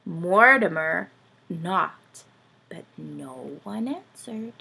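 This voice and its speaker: Female children's story reader: expressive and dramatic, slow delivery, husky voice with some fry. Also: fairly low pitch, rough recording. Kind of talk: expressive read-aloud of a picture book.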